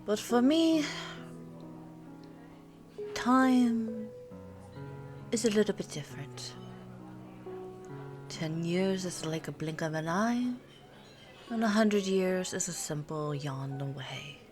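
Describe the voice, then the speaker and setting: A woman playing an ageless, teasing vampire girlfriend in an intimate ASMR roleplay, musing and pausing with little hums. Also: lowish voice, lively intonation. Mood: patient, explaining. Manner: unhurried, musing, pausing with hums before answering. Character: pure-blood vampire girlfriend, ageless, looks twenty-nine, teasing and worldly. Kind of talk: ASMR audio roleplay, intimate one-sided conversation. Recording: soft music and cafe ambience behind. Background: soft background music with cafe ambience.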